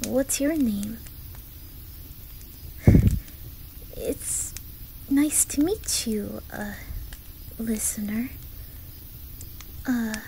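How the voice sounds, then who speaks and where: Woman doing a shy little boy w/ swedish accent, asmr roleplay, super slow n soft, often whispering, stammers a bit. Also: a little high, a little hiss or hum, poor audio. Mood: nervous, shy. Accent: attempted Swedish. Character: shy, curious nine-year-old Swedish village boy.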